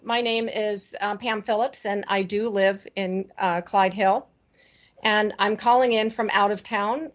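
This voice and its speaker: A woman reads a firm, measured prepared statement as a resident giving public comment at a council meeting. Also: mid-range voice. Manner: firm and measured, with "um" and "uh" fillers at the start.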